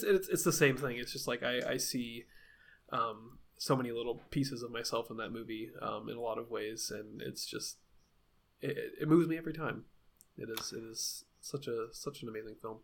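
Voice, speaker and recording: A man's voice: mid-range voice, normal speed, vocal fry, a bit bright. A man co-hosting a podcast, talking casually and hesitantly with many restarts, a little sniffly from a cold. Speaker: podcast co-host.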